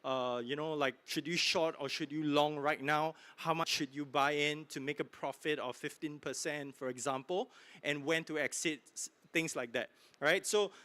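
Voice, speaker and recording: A man giving a keynote sales pitch in a conference hall, pushy and full of fillers, asking "right?" over and over. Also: fairly even intonation, moderate pace, pushed and bright. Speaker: keynote speaker. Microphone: handheld mic.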